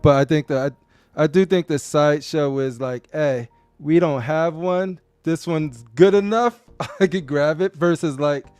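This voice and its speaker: A man's voice: fairly high pitch, measured pace, a little mellow, clear, resonant tone, no echo, soft background music. A man co-hosting a casual live-stream chat, laid-back and teasing, often murmuring agreement.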